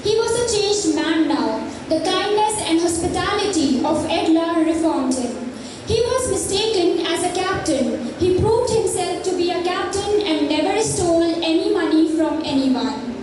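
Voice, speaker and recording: A young female student narrates a stage play from a script. Her voice is extremely high and heavily echoed, with clear noise behind. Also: expressive, a little slow. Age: young, school student. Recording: echoey, distant, noisy hall.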